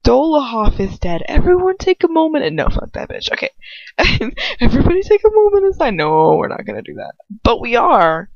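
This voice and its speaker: A woman's voice: sits high, big pitch swings, a little fast, creaky, dry recording. Young woman reading fiction, slightly muffled recording. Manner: goofy, giggly, slangy. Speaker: amateur fan fiction reader. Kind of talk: story reading with casual, joking asides. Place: inside a house.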